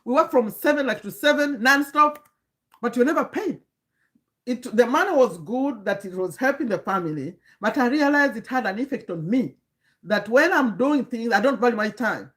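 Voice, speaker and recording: A woman's voice: fairly high pitch, lively intonation, normal speed. Earnest woman in her fifties. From Uganda. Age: 50s. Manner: earnest, lecturing, many restarts, greets viewers mid-sentence. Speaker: livestream host and community coach. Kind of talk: live-stream talk to chat viewers.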